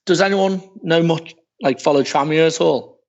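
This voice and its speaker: A man's voice: on the high side, moderate pace. A man on a podcast panel telling a football story casually, swearing now and then. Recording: dull lo-fi podcast audio.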